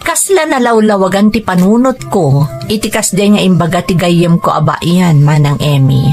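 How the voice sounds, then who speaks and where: An actress playing a dutiful, hardworking sister working overseas, with an Ilocano accent, in a first-person audio drama. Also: female voice, lowish voice, expressive, moderate pace. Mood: reflective, earnest. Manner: earnest, reflective. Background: faint music.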